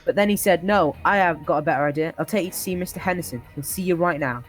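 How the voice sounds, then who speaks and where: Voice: mid-range voice, fast, faint music behind. Storyteller stumbling through a comic tall tale. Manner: often breaks into laughter, stumbles over words. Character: old-timer reminiscing about an absurdly harsh childhood.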